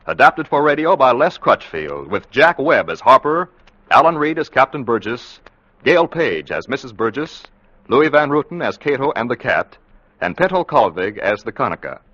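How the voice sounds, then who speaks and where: Forceful male vintage radio announcer. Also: medium pitch, sing-song, normal speed, no room sound. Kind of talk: scripted 1940s-50s radio announcing. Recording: dull, noisy vintage radio recording.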